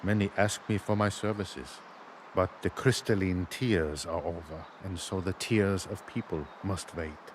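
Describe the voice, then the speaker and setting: An actor playing a weary, wry gravedigger in a video game, musing in a sly, dramatic way. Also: male voice, deep voice, a little slow, a little hiss or hum. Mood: wry, matter-of-fact. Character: weary, wry gravedigger turned memorial crafter. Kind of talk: video game character dialogue.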